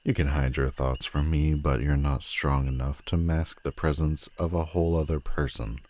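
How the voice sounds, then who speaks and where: A man playing a menacing, world-weary telepathic supervillain in an audio roleplay, theatrical and mocking, with deliberate dramatic pauses. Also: extremely low pitch, fairly even intonation, darkish tone. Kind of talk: scripted audio roleplay addressed to the listener.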